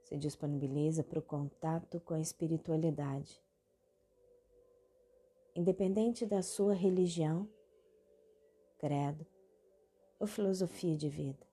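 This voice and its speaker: A Brazilian woman leads a guided meditation in a low, mellow voice with a husky grain. She gives calm instructions in short phrases, leaving long pauses, while soft music plays behind her. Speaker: guided meditation podcast host.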